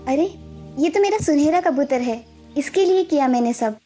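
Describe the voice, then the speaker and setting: A woman's voice: high voice, animated, moderate pace, warm tone, soft background music. A woman voicing an affectionate young girl in a children's cartoon story. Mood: pleading. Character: affectionate girl Mala, fond of her magic pigeon.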